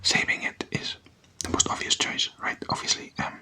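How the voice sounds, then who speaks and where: A person doing casual, playful ASMR in a breathy whisper, chatting and putting questions to the listener. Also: normal speed. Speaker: ASMR content creator.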